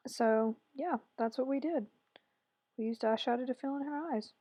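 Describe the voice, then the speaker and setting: A woman with a hoarse, raspy voice chatting through a makeup tutorial voice-over, rambling and correcting herself as she goes. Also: high voice, normal speed, warm tone. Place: voice-over recorded separately from the video.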